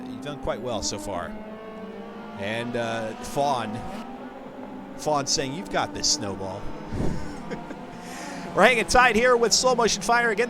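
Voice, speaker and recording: A man hosting a racing live stream from his computer, chatting casually, thanking viewers and rambling through asides. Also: on the high side. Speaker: streamer and race host. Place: home setup at a computer.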